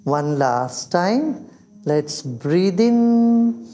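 An older man with an Indian accent guides a meditation in a soft, mellow, high-pitched voice. He speaks in a soothing, unhurried manner and leaves long pauses between phrases. Music plays behind him. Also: sing-song. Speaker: meditation teacher. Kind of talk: guided meditation in English and Hindi. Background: background music.

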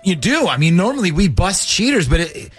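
A man's voice: sits high, very animated, brisk, fairly bright tone, breathy edge, faint music behind. A man hosting a radio phone-in show, talking in a casual, joking way. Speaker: morning radio show host.

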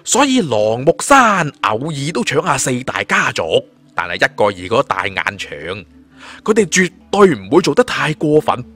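A man's voice: mid-range voice, very animated, moderate pace, fairly bright tone, faint music behind. A man with a Hong Kong Cantonese accent narrating a fantasy story in a dramatic storytelling style. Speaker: audiobook narrator. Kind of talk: dramatic scripted storytelling of a suspense fantasy novel.

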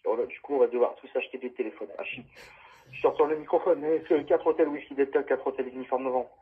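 A man chatting casually over an amateur radio link, heard through a phone loudspeaker. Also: mid-range voice, quick pace, slightly rough, phone-quality sound. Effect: radio link through phone speakerphone. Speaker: amateur radio operator on the other end.

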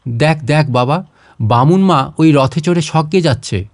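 A man's voice: a little high, measured pace, no echo. A male storyteller plays a humble village mother in a storybook. She speaks simply and fervently, with tearful devotion and turns of speech. The sound is dry and close. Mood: eager, awestruck.

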